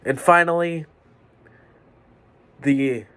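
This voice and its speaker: A man hosting a solo sports podcast from his bedroom, a little stuffed up, delivering picks in a measured, deliberate way. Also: a little high, bright, forceful tone, clean tone. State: stuffy nose. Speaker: podcast host. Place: home bedroom with fans running.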